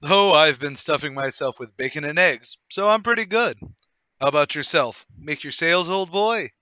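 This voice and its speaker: Man acting a bright, forceful, theatrical gentleman. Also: fairly high pitch, animated, normal speed, no echo. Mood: jovial, teasing. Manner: hearty, theatrical old-chap banter. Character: cheery, brash gentleman brother-in-law with hidden cunning. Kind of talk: radio play table read.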